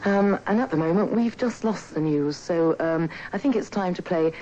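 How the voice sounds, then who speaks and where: A woman's voice: lowish voice, moderate pace, warm tone, a little hiss or hum. A woman presenting live local radio in a chatty style. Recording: muffled radio clip with music.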